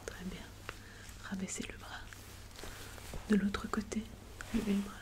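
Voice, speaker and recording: A woman playing a calm, attentive doctor in an ASMR medical roleplay, whispering gently and methodically. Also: medium pitch, unhurried, close to an everyday voice. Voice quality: breathy whisper. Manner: gentle, methodical, polite and formal. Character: calm, attentive female doctor examining a patient.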